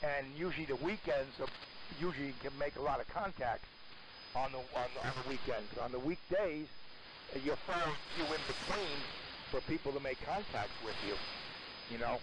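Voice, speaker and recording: A man's voice: medium pitch, normal speed. An older man chatting casually and in a rambling, folksy way as an amateur operator over AM shortwave radio. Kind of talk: casual ham radio contact. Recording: noisy AM ham radio transmission. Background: clear static noise.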